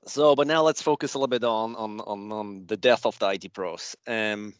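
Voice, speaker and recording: Swiss-accented male presenter, lowish voice, casual self-deprecating delivery, dry remote-call sound. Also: fairly even intonation, moderate pace, smooth, no echo, dull audio. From Switzerland. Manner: chatty, loose and self-deprecating. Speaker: guest speaker at a user group. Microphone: remote video call.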